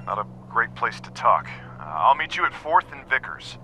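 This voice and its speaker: A man's voice: mid-range voice, sing-song, normal speed, low-quality recording. An actor playing a cautious, exasperated lawman in a naturalistic video game style, admonishing and wary. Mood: serious. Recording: music behind the voice.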